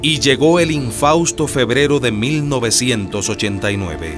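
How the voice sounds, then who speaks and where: A man narrating a political radio drama from a script, stressing key phrases deliberately. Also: mid-range voice, expressive, over music, natural voice. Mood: storytelling.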